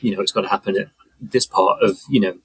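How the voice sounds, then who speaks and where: A man's voice: lowish voice, steady tone, fast talker, fairly bright tone, husky, no echo, poor audio. A man explaining things casually as a guest in a conversation. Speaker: podcast guest, audio mixer.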